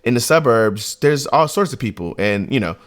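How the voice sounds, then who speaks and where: Young male co-host, easygoing chatter, mid-pitched, lots of asides. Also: expressive, moderate pace. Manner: loose, conversational, frequent "you know what I mean". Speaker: podcast co-host. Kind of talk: casual podcast discussion.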